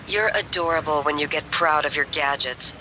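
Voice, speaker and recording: A woman's voice: low-pitched, normal speed, pushed and bright, a little hiss or hum, poor audio. A coolly teasing woman smuggler in a video game. She keeps her words terse and faintly patronising, like someone used to running the deal. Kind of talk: scripted game dialogue.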